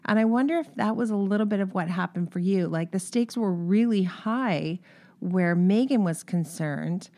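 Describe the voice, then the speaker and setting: A woman hosts a conversational interview. She speaks reflectively and reassuringly, working her thoughts out aloud before she asks her guest a question. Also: medium pitch. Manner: warm, reassuring, thinks aloud. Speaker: interview host.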